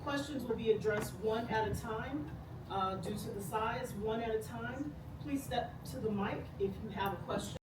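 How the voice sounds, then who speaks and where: A woman's voice: on the high side, normal speed. A woman moderating a public meeting, reading the housekeeping rules with a few uhs. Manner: reads rules plainly with filler uhs. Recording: echoey room, far from mic, loud noise.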